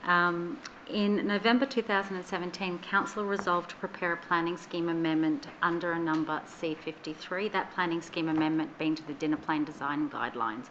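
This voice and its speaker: A woman with an Australian accent, a council officer giving a clear, methodical explanation, recorded on dull lo-fi room audio. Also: on the low side, fairly even intonation, fairly quick, few pauses, a bit bright, slight background noise. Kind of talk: formal explanation.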